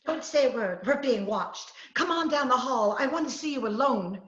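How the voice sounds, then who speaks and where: Woman acting a scatterbrained pushy girl reporter, 40s radio comedy, bright bouncy voice, roomy muffled zoom audio. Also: high-pitched, normal speed. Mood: bossy, hushed urgency. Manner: bossy, excitable, theatrical delivery. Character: plucky, scatterbrained, headstrong young lady crime reporter. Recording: roomy, muffled, faintly noisy video-call recording.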